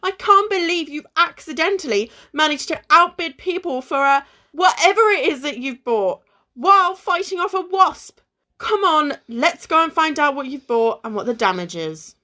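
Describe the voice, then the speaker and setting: Woman playing a high-voiced exasperated friend. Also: expressive, normal speed, sharp tone. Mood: scolding. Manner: dry, scolding. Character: exasperated, sensible, cautious friend, bossy woman. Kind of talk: amateur radio play acting.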